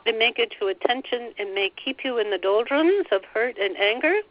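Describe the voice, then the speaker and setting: A woman leading a guided meditation, relaying a guide's words in a gentle, soothing way and often repeating phrases. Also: a little high, expressive, moderate pace, no echo, dull audio. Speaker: spiritual teacher leading a meditation.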